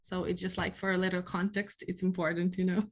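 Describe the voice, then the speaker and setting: A woman in her twenties from western Ukraine with a Ukrainian accent, speaking English as a guest in an interview, anxious and halting with many fillers. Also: fairly low pitch, flat delivery. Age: 20s. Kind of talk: conversational interview.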